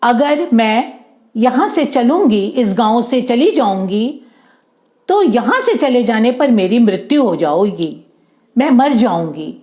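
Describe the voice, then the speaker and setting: An ancient serpent woman of storybook legend , voiced by a woman, firm and protective. She speaks very slowly at a fairly high pitch, insisting and repeating herself. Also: darkish tone.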